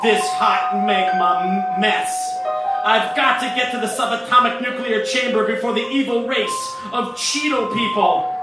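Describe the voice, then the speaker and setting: An exasperated space hero, played by a man hams it up in a children's radio serial. The voice is high and grumbling, heavily echoed and set back from the mic. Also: a little slow. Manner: grumbling, melodramatic. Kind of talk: old-time radio space serial parody acting. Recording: echoey, distant, with music behind.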